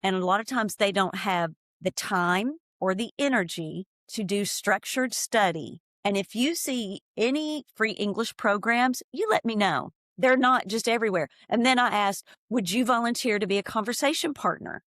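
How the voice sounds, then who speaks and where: A woman's voice: mid-range voice, normal speed, hardly any pauses, no room sound, studio quality. A woman from East Tennessee, an ESL teacher, talking earnestly and with emphasis in an interview. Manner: earnest, animated, emphatic when making a point. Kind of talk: conversational interview.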